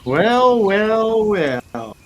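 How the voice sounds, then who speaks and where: A man's voice: sits high, sing-song, drawn-out words, highs cut off. A man hosting a casual, jokey live show in a playful, theatrical, rambling way. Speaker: host. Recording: noisy, with nature sounds behind. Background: forest and nature ambience.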